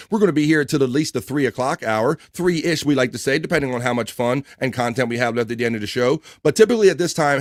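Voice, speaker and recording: A man's voice: medium pitch, dry recording, studio quality. A man hosting a live-stream talk show, talking casually and loosely, joking, laughing often and swearing now and then.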